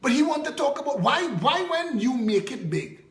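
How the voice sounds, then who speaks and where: A man's voice: extremely high pitch, sing-song, moderate pace, reverberant. A man from Trinidad and Tobago with a Trinidadian accent, giving a fiery, scornful political address as a party leader and firing off rhetorical questions.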